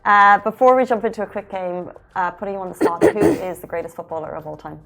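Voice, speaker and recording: Una conduttrice di uno show mattutino, voce femminile di altezza media, parla con tono vivace e scorrevole. Guida gli ospiti con brevi frasi allegre. Il suono è pulito. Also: female voice, mid-range voice, expressive, fast, vocal fry, a bit bright, soft background music. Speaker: show host. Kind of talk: morning show hosting.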